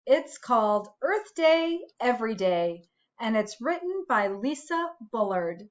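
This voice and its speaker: A woman school principal reading a picture book aloud to children in an expressive, deliberate, teacherly way, with asides to her listeners. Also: fairly high pitch. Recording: dull, lo-fi recording.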